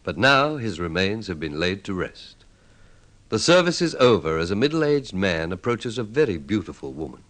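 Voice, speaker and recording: A man's voice: on the low side, very animated, moderate pace. A man narrating a vintage radio drama as its announcer, in a theatrical, portentous manner. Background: loud music.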